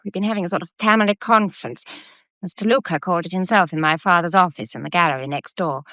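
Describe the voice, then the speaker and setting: An actress in a radio drama playing a concerned, well-mannered daughter of the house, speaking earnestly and politely. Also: female voice, on the low side, fast, bright, forceful tone, no echo. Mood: subdued, explaining. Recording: slightly dull, dry studio sound.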